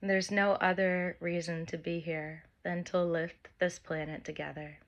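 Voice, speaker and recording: A woman guides a meditation in a lowish voice that stays very level. She speaks very slowly, in gentle, short phrases with long pauses, giving soft instructions. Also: flat delivery. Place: by the open air with wind and birds.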